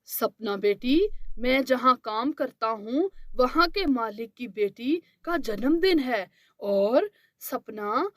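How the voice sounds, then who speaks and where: A woman voicing a gentle, affectionate village father in a children's cartoon tale. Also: a little high, lively intonation, slow pace, dry recording, pitched below the performer's own voice. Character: gentle, loving village father, adult man.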